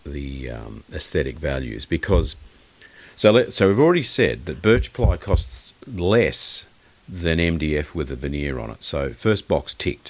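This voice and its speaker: A man with a very low, deep voice chatting as an interview guest. He speaks bluntly and with strong opinions, wandering through his explanations with a dry, joking edge. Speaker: guest loudspeaker designer and maker. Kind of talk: casual podcast interview.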